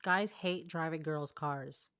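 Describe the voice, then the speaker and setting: Female co-host, slightly low voice, close dry sound, informal reader with wry asides. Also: slow, a bit bright, clean tone, no echo. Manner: wry, colloquial commentary. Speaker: co-host of a fan fiction reading show. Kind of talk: casual chat, reading fan fiction aloud.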